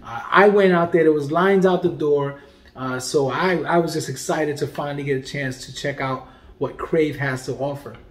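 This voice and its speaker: A man in his forties, a comedian hosting a casual food review vlog, talking in a playful, animated way and dropping to quiet murmurs while he tastes. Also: fairly high pitch, moderate pace. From Puerto Rican heritage. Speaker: food vlogger and comedian host. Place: home dining room indoors. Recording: echoey room.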